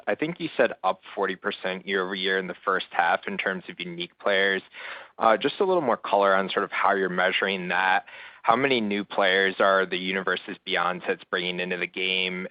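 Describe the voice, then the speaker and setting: A man with a deep voice politely puts questions to executives as a financial analyst. The sound is dry and slightly dull, like a conference line. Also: no echo. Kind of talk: polite prepared business questions. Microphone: conference call line.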